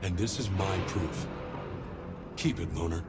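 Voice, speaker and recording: An actor playing a grave, solemn leader of a free ex-cult faction in a video game cutscene, speaking in a measured, deliberate way. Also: male voice, low voice. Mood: grave, resolute. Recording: echoey, loud music behind.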